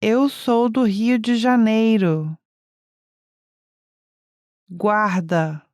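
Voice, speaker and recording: A woman giving careful, deliberate model pronunciations for learners, repeating each word. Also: a little high, fairly even intonation, a little mellow, clean tone, dry recording, clean studio sound. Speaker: native-speaker example voice.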